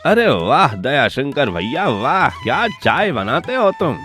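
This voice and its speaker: A man plays an admiring village tea customer in a cartoon. The delivery is effusive and very lively in pitch, lifted above a low natural voice. Music plays beneath it. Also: unhurried. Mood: delighted.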